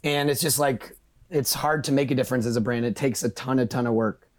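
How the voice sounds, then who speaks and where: Male guest, quick delivery, informal and enthusiastic, medium pitch, promotional interview talk. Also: fairly even intonation. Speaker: guest, brand conservation representative.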